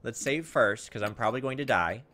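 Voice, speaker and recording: A man's voice: medium pitch, expressive, fairly quick, bright, forceful tone. Man musing through gameplay, noisy recording. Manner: thinking aloud, hesitant musing. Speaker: let's play host. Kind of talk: casual gameplay commentary.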